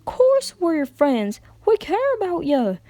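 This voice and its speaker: A woman's voice: very high-pitched, huge pitch swings, measured pace, mellow, no echo, pitched above the performer's own voice, exaggerated voice. A woman voicing an earnest, plainspoken country pony mare with an American Southern accent and a folksy, emotional manner. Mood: upset, strained.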